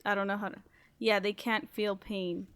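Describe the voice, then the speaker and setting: A woman's voice: medium pitch, little pitch movement, moderate pace, a crowd in the background. Casual, hedging female podcast host. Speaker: podcast host putting questions to the group. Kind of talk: casual group podcast chat.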